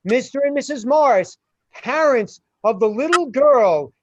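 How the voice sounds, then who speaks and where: A woman narrating a scripted radio play in deliberate short phrases, on a dull lo-fi recording. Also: sits high, expressive, no room sound. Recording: dull, dry, lo-fi sound.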